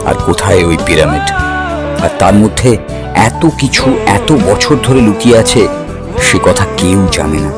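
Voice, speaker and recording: A man playing a calm, principled Indian adventurer in an audio drama, speaking in a measured, earnest way. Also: low-pitched, over music. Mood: reflective. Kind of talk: dramatised audio story acting.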